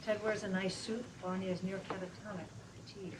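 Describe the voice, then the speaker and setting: A woman's voice: mid-range voice, fairly even intonation, fast talker. A woman reading scripted stage directions aloud at a table read. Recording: clearly noisy live room recording.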